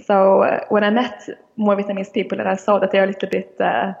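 Swedish-raised young woman, flat and gravelly. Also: medium pitch, fast talker, long reverb tail, muffled recording. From Sweden. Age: young adult. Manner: hesitant, many fillers and restarts. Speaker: interview guest. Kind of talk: casual interview answers.

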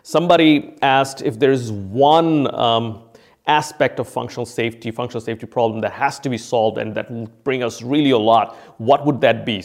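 A man hosting a podcast, explaining like an expert trainer in a conversational, emphatic way and repeating key phrases for stress. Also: mid-range voice. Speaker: podcast host and functional safety consultant and trainer.

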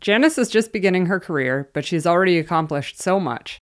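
A woman's voice: on the low side, lively intonation, fairly quick. A woman hosting an interview podcast, upbeat and eager, reading a scripted intro and then chatting with her guest. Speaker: podcast host.

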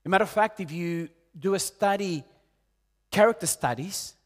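A man in his late forties from Peru, preaching a sermon in an earnest way, rising loud on key points and dropping soft and low. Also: moderate pace. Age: 40s. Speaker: pastor.

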